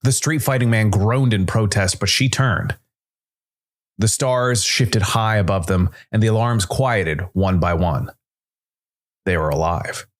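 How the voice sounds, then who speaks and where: A man's voice: fairly low pitch, a little slow. A man narrating scripted noir science fiction as the host of a storytelling show.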